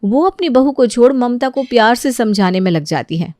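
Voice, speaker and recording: Animated woman storyteller. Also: fairly high pitch, moderate pace, dry recording, studio quality. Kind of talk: expressive scripted story narration in Hindi.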